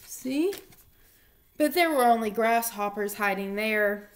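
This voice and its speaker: Young woman, fairly high voice, children's storytime reader, recorded indoors at home. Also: animated, slow. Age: young adult. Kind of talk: read-aloud children's storytime. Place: small home room, indoors.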